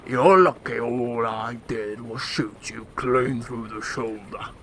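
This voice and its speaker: An actor playing a cocky, threatening gangland enforcer in a radio drama, with a taunting manner. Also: male voice, mid-range voice, takes it slowly, a crowd in the background. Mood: menacing, commanding.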